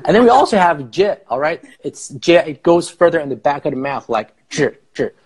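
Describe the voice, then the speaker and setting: A man in his thirties, a native Farsi speaker and English teacher, explaining slowly on a live video call through an earphone mic in a small room. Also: a little high, big pitch swings, husky. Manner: explains slowly, rephrasing with many fillers. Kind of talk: casual live video chat. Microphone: earphone headset mic on a video call.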